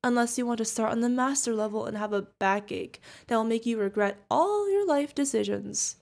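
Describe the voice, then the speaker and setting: A woman's voice: fairly high pitch, brisk, gravelly, a little mellow. A woman playing a protective older witch mentor, fond, teasing and stern, in an ASMR fantasy roleplay. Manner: affectionate teasing, mock-exasperated. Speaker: voice actor.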